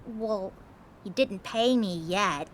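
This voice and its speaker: A woman's voice: mid-range voice, takes it slowly, slight background noise, lightly acted. A woman playing an earnest, gullible garbage collector in an audio drama, with a folksy, self-assured way of talking. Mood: earnest, defensive. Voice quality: folksy, colloquial delivery. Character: gullible, cheerfully confident female garbage collector.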